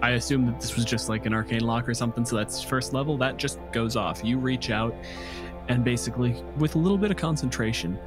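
A man's voice: mid-range voice, a little fast, over music. A man narrating a tabletop game as game master, improvising informal descriptions with the odd swear word.

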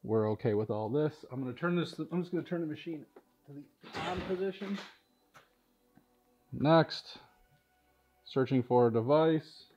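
A man's voice: a little high, lively intonation, measured pace, long pauses, a little mellow. Casual man, slightly dull sound over music. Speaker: product demonstrator at a powersports tuning shop. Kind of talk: casual walkthrough tutorial. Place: large indoor vehicle workshop.

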